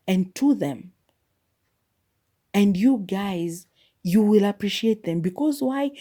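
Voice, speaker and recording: A woman's voice: medium pitch, dark tone. A young woman hosting a solo podcast, speaking her mind candidly and mocking what others say. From Kenya. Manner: opinionated, candid, mock-quotes people. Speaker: podcast host.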